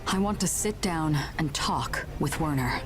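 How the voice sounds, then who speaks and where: An actress voicing a composed, determined woman leader in a video game cutscene, speaking in an earnest, measured way. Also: deep voice, lively intonation. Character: resolute, composed woman leader seeking a negotiation.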